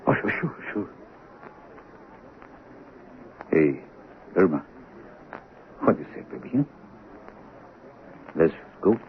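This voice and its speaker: An actor playing a worried, gentle male companion with a casual, coaxing manner in a 1970s radio drama. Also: deep voice, expressive, measured pace. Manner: coaxing, informal. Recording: narrow, hissy old radio broadcast.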